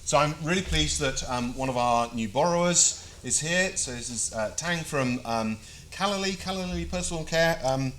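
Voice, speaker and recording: A man's voice: a little high, animated, moderate pace, few pauses, fairly bright tone, a little hiss or hum. Chatty British man giving a briefing. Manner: chatty, self-deprecating, hesitant fillers. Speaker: briefing presenter. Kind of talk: presentation to a live audience.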